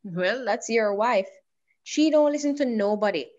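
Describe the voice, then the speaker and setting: A woman's voice: on the high side, animated, unhurried, darkish tone. Woman acting a resentful Jamaican daughter, muffled sound. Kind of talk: radio drama acting.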